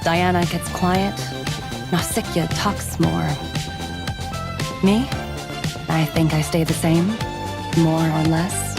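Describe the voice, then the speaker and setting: Low-voiced, earnest android warrior. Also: female voice, level tone, takes it slowly, pauses often. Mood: reflective. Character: earnest, loyal female centaur-framed android warrior, calm and battle-hardened. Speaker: video game character voice actor. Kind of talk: scripted game character voicelines. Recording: studio voice under loud pop music. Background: loud pop music.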